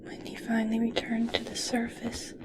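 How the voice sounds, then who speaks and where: A woman playing an obsessed, eccentric scientist in an ASMR roleplay, speaking intimately in a breathy whisper. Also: on the high side, completely flat delivery, lightly acted. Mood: wistful. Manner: slow, intimate, wistful storytelling. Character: obsessed, eccentric female human scientist fascinated by merpeople.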